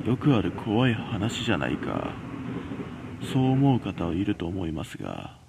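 A man reads a scripted horror tale as its narrator. His voice is on the low side and gravelly, with lively swings in pitch. He tells it in a measured, dramatic manner, leaving pauses as he goes. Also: noisy background. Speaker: narrator of a horror story podcast. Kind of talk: scripted ghost story narration.

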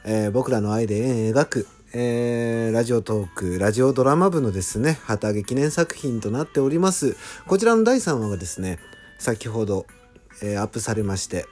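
A man's voice: mid-range voice, lively intonation, a little fast, a little mellow, faint music behind. A man hosting a casual solo behind-the-scenes talk, speaking politely with enthusiastic emphasis.

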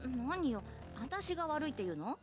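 A woman playing a young female roommate, caring but quick to blame, in an audio drama. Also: sits high, very animated, music in the background. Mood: indignant.

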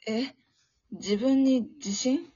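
A woman's voice: high voice, extremely slow, mellow, husky, heavily acted. A woman acting a timid, bullied little schoolgirl in an amateur radio drama, meek and hesitant. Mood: shy, hesitant. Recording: dull, lo-fi audio.

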